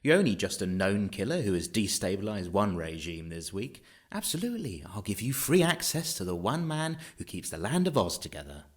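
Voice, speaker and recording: Officious, swooping fantasy guardian interrogator. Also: male voice, fairly low pitch, big pitch swings, a little fast, hardly any pauses. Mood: sarcastic. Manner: curt, imperious, sarcastic. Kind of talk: audio drama acting.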